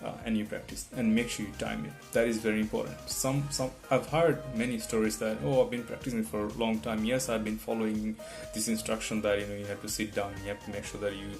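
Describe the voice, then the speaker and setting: Low gravelly guy, english not his first language, rambly tips, guitar music behind. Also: flat delivery, fast, hardly any pauses, a little mellow. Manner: rambling, repeats "right?" and restarts often. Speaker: test-prep vlogger. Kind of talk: casual advice talk to camera.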